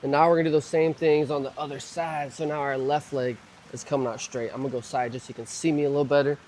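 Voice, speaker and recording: Slightly hoarse man calmly cueing stretches. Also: a little high, fast, a little hiss or hum. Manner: calm, gentle cueing of moves and breaths. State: slightly hoarse, recovering from a cough. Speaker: mobility and stretching instructor. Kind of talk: guided mobility flow instruction.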